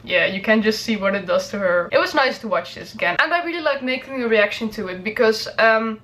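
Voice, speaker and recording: A woman's voice: on the high side, expressive, brisk, a bit bright. A woman chatting casually to viewers as a reaction video host, rambling and hedging as she goes.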